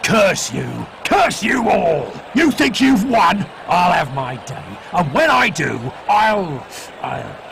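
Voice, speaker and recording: A man's voice: high-pitched, sing-song, slow pace, gravelly, fairly bright tone, a little hiss or hum, exaggerated voice. An actor playing a scornful, grandly scheming male villain in a video game. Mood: furious. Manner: sneering, theatrical ringside announcing.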